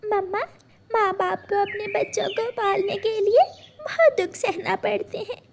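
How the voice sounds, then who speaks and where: A woman's voice: very high-pitched, measured pace, gravelly, background noise, voice pushed up, heavily acted. A woman voicing a sweet, curious little daughter bird in a childlike, affectionate cartoon manner. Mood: tender, tearful.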